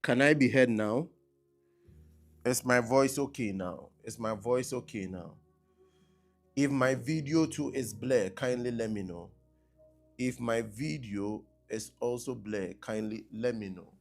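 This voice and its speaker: A man preaching on a live stream who repeats his blessings and keeps asking viewers whether he can be heard and seen clearly. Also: mid-range voice, measured pace, soft background music. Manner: repetitive, checking with online viewers. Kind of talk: live-streamed sermon opening. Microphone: laptop mic on a live stream.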